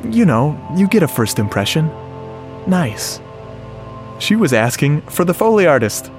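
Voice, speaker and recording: A man playing a shy, earnest, nerdy sound-effects man, telling his story conversationally and haltingly in a radio drama, with a wistful manner. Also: on the high side, very animated. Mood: wistful, smitten. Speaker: narrator and lead character. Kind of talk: first-person radio drama monologue. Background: music and sound effects.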